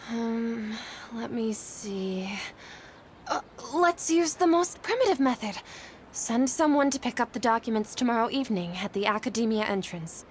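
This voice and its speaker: Troubled, high-voiced young scholar. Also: female voice, a little hiss or hum. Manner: earnest, hesitant, expressive. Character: troubled woman scholar, guilt-ridden assistant, earnest and anxious. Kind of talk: video game voice acting.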